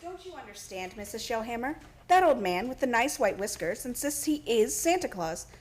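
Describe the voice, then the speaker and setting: A woman's voice: fairly high pitch, sing-song, normal speed, darkish tone. A woman acting an earnest, worried department store manager in a radio play, with an anxious way of talking.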